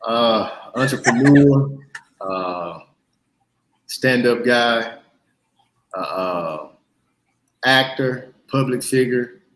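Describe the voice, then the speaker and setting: A man in his thirties with a Mississippi Southern accent, a guest in a casual interview, relaxed and pausing with fillers. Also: mid-range voice, reverberant, a little hiss or hum, poor audio. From Mississippi, USA. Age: 30s. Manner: relaxed, hesitant with frequent uh fillers.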